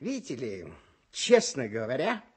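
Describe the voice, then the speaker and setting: A man acting as a kindly, playfully earnest mentor hosting a children's radio comedy, theatrical and expressive. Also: medium pitch, moderate pace, breathy edge, exaggerated voice. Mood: confiding, hesitant. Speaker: host of a children's radio show.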